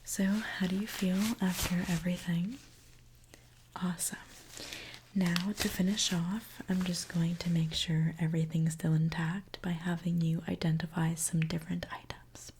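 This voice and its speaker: A woman whispering a gentle ASMR roleplay as a reassuring repair mechanic, talking softly and directly to the listener. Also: on the low side, flat delivery, background noise. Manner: soft, gentle, reassuring, unhurried. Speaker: ASMR performer playing a robot repair mechanic.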